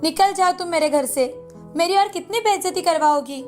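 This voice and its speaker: A woman's voice: very high-pitched, normal speed, darkish tone, soft background music. A woman voicing a haughty, dramatic middle-aged mother-in-law in a cartoon moral story. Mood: angry, scolding.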